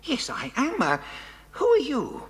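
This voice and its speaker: An actor playing an agitated, earnest kidnapped scientist who speaks haltingly in a children's audio drama. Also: sits high, huge pitch swings, creaky, exaggerated voice. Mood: startled, anxious. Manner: halting, stammering. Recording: long reverberant hall sound.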